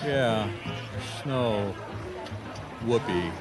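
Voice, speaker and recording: A dry, weary detective. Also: male voice, on the low side, extremely slow, loud music behind the voice. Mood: weary, unimpressed. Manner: deadpan, grumbling, unimpressed. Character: weary, dry private detective, middle-aged man. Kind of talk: radio mystery drama acting.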